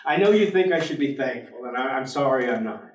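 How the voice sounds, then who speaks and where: A man's voice: mid-range voice, lively intonation, fast talker, slight background noise, close to an everyday voice. An actor playing a bleak, world-weary male professor in a staged dramatic reading, formal, articulate and fatalistic. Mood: apologetic, withdrawn. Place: theatre stage. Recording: echoey theatre, distant microphone.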